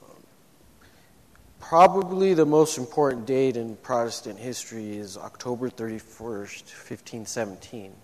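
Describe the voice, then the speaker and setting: A man with a low voice preaching a sermon in a church, speaking haltingly with frequent restarts. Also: a little mellow. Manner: halting, many restarts and fillers. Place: church sanctuary. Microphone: microphone. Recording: long church-hall reverb.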